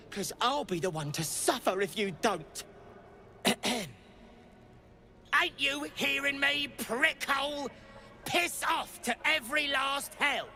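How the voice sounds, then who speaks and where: A foul-mouthed, suspicious underling from a video game. His voice is high and swings widely, taking its time as he snarls. Music plays behind him. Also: bright, forceful tone, full character voice. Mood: grudging, then furious. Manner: brusque, snarling, coarse cursing. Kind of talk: video game character acting.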